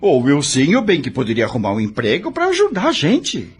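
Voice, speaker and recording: A hugely expressive Brazilian husband played by a male actor in an audio soap opera. He is a beleaguered, defensive bank employee who protests theatrically, with faint noise behind him. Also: a little high, fairly quick. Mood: defensive, irritated.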